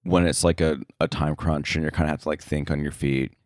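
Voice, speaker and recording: A man speaking casually as an interview guest about his work, thinking aloud in a halting way. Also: a bass voice, flat delivery, no echo, clean studio sound. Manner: halting, thinking aloud, frequent filler likes. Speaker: sound editor, interview guest.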